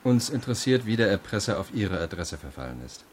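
An actor playing a methodical prosecutor's investigator, formal and measured in his questioning, in a radio crime drama. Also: fairly low pitch, big pitch swings, a little hiss or hum. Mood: formal, probing.

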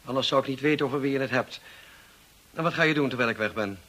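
Bright-voiced, brisk gentleman husband. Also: mid-range voice. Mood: brisk, affectionate. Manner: breezy, brisk, familiar. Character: brisk, affectionate, self-assured gentleman husband. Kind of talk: radio drama acting.

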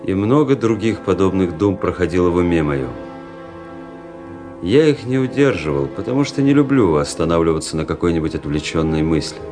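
An actor playing a weary, cynical young army officer who narrates a radio drama in a measured, introspective way. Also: male voice, low voice, lively intonation. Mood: brooding, weary. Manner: introspective, world-weary, measured literary delivery. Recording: music under the voice.

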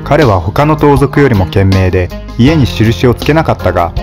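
Measured, low-voiced male storyteller. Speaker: narrator. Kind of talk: scripted storybook narration.

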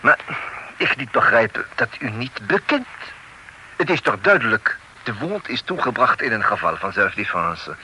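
A loyal old French retainer speaks halting, broken Dutch with a strong French accent. His bright, lively voice rises and falls freely in this period radio play. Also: medium pitch, slight background noise, full character voice, poor audio. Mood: sorrowful. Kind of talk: period radio drama acting.

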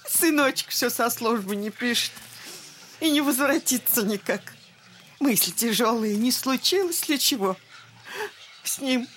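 A woman's voice: a little high, slight background noise. An actress playing an older, worried peasant mother in an audio drama, plaintive and folksy. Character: worried peasant mother of an absent soldier son.

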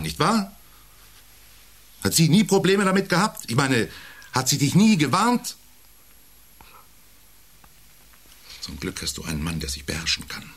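An actor playing a domineering, self-important husband around forty who needles and belittles in an intimate German radio play. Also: male voice, medium pitch, fairly bright tone, breathy edge, exaggerated voice. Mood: contemptuous, agitated. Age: 40s. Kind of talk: intimate radio drama dialogue.

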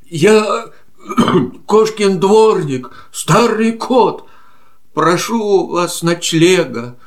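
A man's voice: high-pitched, expressive, very slow, full character voice. A man playing a homeless old tomcat yard-keeper, humble and weary, in a verse audio play for children. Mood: humble pleading.

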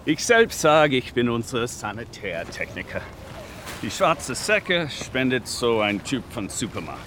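A man's voice: medium pitch, big pitch swings, measured pace, fairly bright tone. Homeless man amid street noise. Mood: matter-of-fact, proud. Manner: slightly broken German grammar, plain-spoken. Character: proud, easygoing homeless male sanitation worker in Detroit. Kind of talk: naturalistic radio drama, documentary interview. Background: outdoor urban ambience with vehicles.

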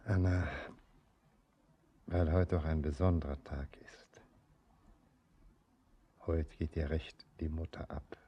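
An actor playing a bride's aging father in a radio drama, gentle and troubled, speaking solemnly and haltingly. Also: extremely low pitch, fairly even intonation, highs cut off. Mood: tender, wistful. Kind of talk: poetic radio drama acting. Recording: faint background noise.

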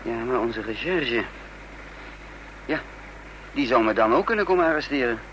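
Een acteur die in een hoorspel een vastberaden voortvluchtige dubbelganger speelt, bedachtzaam sprekend en dan weer bevelend. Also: male voice, mid-range voice, expressive, moderate pace, fairly bright tone, lightly acted. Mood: pensive. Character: resolute male fugitive impostor leading his companions. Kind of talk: radio drama acting. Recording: noisy old radio play recording.

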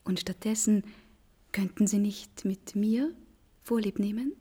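Mellow, playful young gentlewoman. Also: mid-range voice, unhurried, breathy edge. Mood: playful, admiring. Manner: playful, mannered politeness. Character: witty, forthcoming young gentlewoman, playfully admiring. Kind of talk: period radio drama acting.

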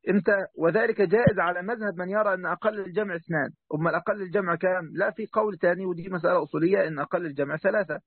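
Brisk Egyptian man teaching over a tinny line. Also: high voice, husky, no echo. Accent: Egyptian Arabic. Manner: brisk, corrects the reader's pronunciation. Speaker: teacher explaining a classical text. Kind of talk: online lesson commentary. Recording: tinny phone-like call audio.

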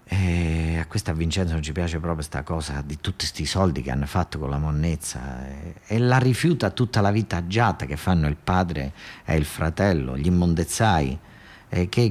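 A man with a southern Italian accent performing a radio theatre monologue as a folksy storyteller, emphatic and repetitive in a colloquial way. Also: on the low side. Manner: repetitive, emphatic, rambling folk-storyteller delivery.

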